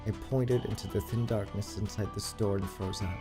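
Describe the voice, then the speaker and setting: A woman streaming a visual novel, reading it aloud and reacting with blunt, sweary, expressive commentary. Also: extremely low pitch, fairly quick. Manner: expressive, sweary, exasperated outbursts. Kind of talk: live game read-aloud with blunt commentary. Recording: music behind the voice.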